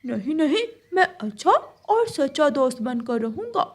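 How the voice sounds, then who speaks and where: A woman's voice: high-pitched, sing-song, moderate pace. A woman voicing a mischievous, greedy young male cartoon crow with a wheedling, childlike manner. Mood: ingratiating. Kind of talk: cartoon story dialogue.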